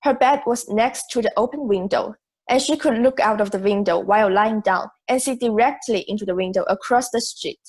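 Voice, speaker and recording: A young woman playing a logical, self-assured juror in an amateur audio drama, speaking in a measured, articulate way. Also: medium pitch, a little mellow, breathy edge. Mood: firm, certain. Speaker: student actor in school drama class.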